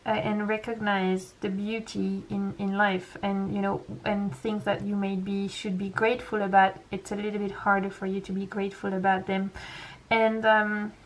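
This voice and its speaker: A young woman with a French accent, a French native speaker giving a gentle, reassuring pick-a-pile tarot reading full of casual fillers. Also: mid-range voice, level tone, moderate pace, a little hiss or hum, rough recording. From France. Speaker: tarot reader.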